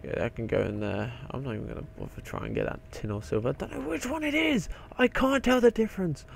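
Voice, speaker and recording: A young man with a croaky voice, rambling and swearing casually while narrating his gameplay. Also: fairly high pitch, big pitch swings, fast, a little hiss or hum. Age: young adult. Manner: rambling, swears often, mutters to himself. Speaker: game video maker.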